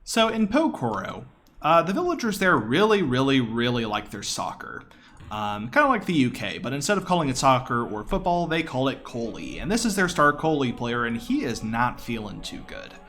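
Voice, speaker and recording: A man's voice: mid-range voice, big pitch swings, normal speed, faint music behind. A man giving casual, enthusiastic live commentary on his own video game speedrun in a conversational way. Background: quiet game audio.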